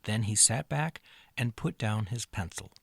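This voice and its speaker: A man's voice: on the low side, lively intonation, a little slow, vocal fry, husky, no echo, clean studio sound. A man narrating a scripted children's story.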